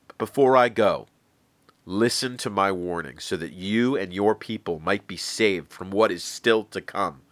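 A man's voice: low voice, measured pace, dry recording. A man voicing a stern, earnest prophet who pleads with emphasis. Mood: solemn.

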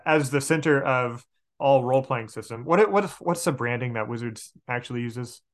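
A man's voice: medium pitch, moderate pace. A man talking casually as an interview guest on a podcast, enthusiastic, often repeating words as he finds his way. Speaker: podcast guest, tabletop game master and elementary teacher.

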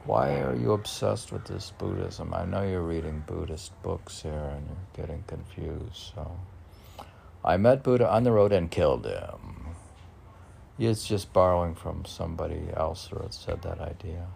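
Male poet, very low gravelly voice, rambling self-questioning delivery, halting and teasing, faint background noise. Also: a little mellow. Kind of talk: rambling solo poetry reading and commentary.

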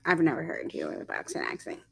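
A young woman co-hosting a casual podcast, talking in a rambling way with many fillers and quick giggles. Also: deep voice, wildly swooping pitch, gravelly, a bit bright, a little hiss or hum. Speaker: podcast co-host.

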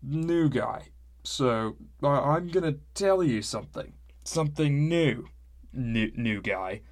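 A man voicing a loud, drunk middle-aged man who slurs and bellows as he tells a tale. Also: medium pitch, lively intonation, voice pushed up. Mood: drunk, boastful. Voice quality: slurred, scratchy. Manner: bellowing, drawn-out.

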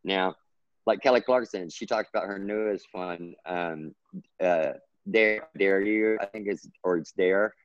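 A man's voice: a little slow, no room sound, muffled recording. A man from Texas with a Texan accent, a writer chatting earnestly and at length as an interview guest. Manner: earnest, rambling, gets excited and pitches up. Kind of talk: casual interview chat.

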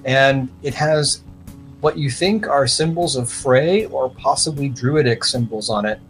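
A man's voice: medium pitch, measured pace, rough recording. Male game master over guitar music. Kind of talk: improvised tabletop game narration. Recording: music playing under the voice. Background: plucked guitar music.

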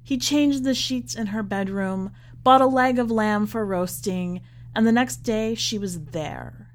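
A woman reading literary fiction aloud as scripted narration, with measured, deliberate phrasing. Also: mid-range voice. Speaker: podcast host reading a short story.

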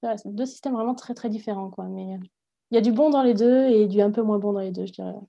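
A woman's voice: medium pitch, fast, gravelly, dark tone, highs cut off. A young woman from France, a language teacher, talking frankly and reflectively in a casual interview, thinking aloud as she goes.

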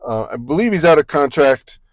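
A man's voice: a little high, animated, a little fast, no room sound. A man co-hosting a casual sports podcast, rambling through points with joking asides and frequent self-corrections. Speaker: podcast co-host.

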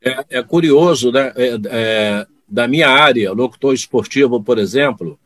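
A man's voice: mid-range voice, moderate pace, fairly bright tone, no echo. A man who works as a sports announcer, telling anecdotes about fellow radio men in a conversational way, with some hesitant starts. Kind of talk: casual chat among broadcasters.

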